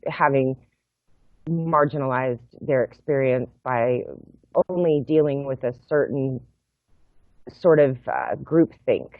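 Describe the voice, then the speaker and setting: A middle-aged woman, a guest on a video call from home, explaining her project in a measured, thoughtful way with frequent pauses. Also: very deep voice, no room sound. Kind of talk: explaining in an interview. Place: home room. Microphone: laptop webcam mic on video call. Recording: tinny phone-like video call audio, dry.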